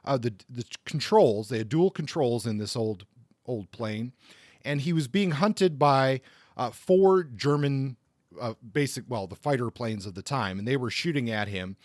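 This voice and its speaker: Male podcast host, informal biographical narrator, conversational and halting, recorded dry. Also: mid-range voice, a little mellow, no echo.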